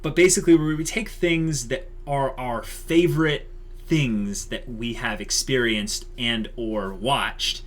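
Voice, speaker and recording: A man's voice: mid-range voice, a bit bright. A man hosting a channel, talking casually to viewers in a wordy, explanatory way full of qualifiers. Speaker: channel host.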